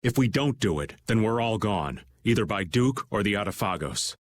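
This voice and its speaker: A man's voice: fairly low pitch, expressive, moderate pace. A man playing an easygoing, wry, seasoned man in an English anime game dub. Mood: grave.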